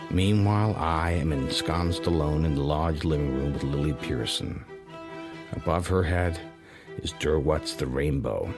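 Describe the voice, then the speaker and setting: An actor playing a cool, secretive criminal who tells his own story in a radio drama, in a measured, understated and wry way. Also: very deep voice. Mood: wry, composed. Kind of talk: radio drama, first-person narration and dialogue.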